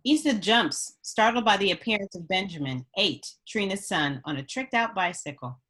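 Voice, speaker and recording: A woman's voice: medium pitch, lively intonation, moderate pace, a bit bright, breathy edge. A woman reading stage directions as scripted narration at a table read.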